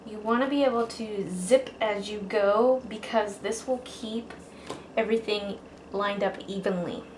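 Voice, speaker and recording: Female craft tutorial host, informal step-by-step delivery, medium pitch, roomy echoing sound. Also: unhurried. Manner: informal, addresses viewers as guys. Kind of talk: casual step-by-step how-to instruction. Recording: echoey room with faint background noise.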